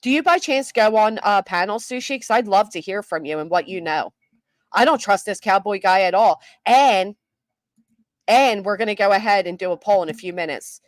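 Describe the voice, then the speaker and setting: A woman's voice: mid-range voice, moderate pace, dry recording. A woman giving casual commentary on a live stream, reading chat comments aloud with a skeptical tone.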